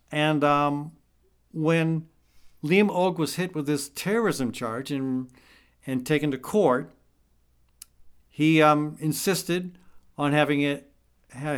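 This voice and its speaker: Chatty Midwestern radio host, fairly high. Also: male voice, smooth. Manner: rambling, with frequent fillers and restarts. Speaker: radio talk show host. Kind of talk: casual radio commentary.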